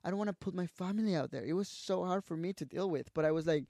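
A man's voice: high-pitched, quick pace, gravelly, dark tone, dry recording, clean studio sound. Emotional Mexican-accented man telling his story. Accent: Mexican Spanish. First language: Spanish. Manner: emotional, candid, swears freely, says "bro". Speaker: podcast guest. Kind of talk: casual storytelling interview.

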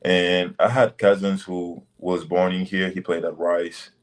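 A young man from Senegal, a basketball prospect, answering interview questions in loose, relaxed phrasing over a video call. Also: sits low, measured pace. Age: young adult. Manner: relaxed, loose phrasing with many "like" and "you know".

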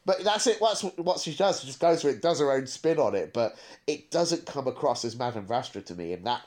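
A man's voice: mid-range voice, animated, a little fast, darkish tone. A man co-hosting a casual podcast discussion, giving opinions with frequent stammering restarts. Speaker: podcast co-host.

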